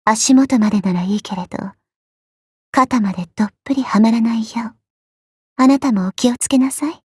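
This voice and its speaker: A woman voicing a petite, haughty, teasing goddess for a game, with elegant, coy, ladylike speech and a soft, breathy tone. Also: on the high side, a little fast, dry recording, studio quality. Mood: aloof, playful. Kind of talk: Japanese mobile game character voice lines.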